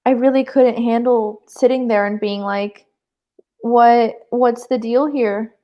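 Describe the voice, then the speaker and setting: A woman co-hosting a live show in a casual, animated book chat, emphatic and peppering her sentences with "like". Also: on the high side, slow pace, dull audio.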